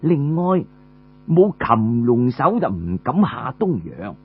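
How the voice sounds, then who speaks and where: Man voicing a husky, sly military aide. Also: medium pitch, big pitch swings, slow pace, narrow, tinny audio, pitched below the performer's own voice, heavily acted. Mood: smug, smiling. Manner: smiling, sententious. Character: sly, falsely cheerful male military aide.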